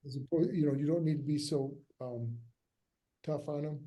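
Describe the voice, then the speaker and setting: A man leading a script table read, giving casual notes in a halting, hesitant way that often trails off. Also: fairly high pitch, warm tone, low-quality recording.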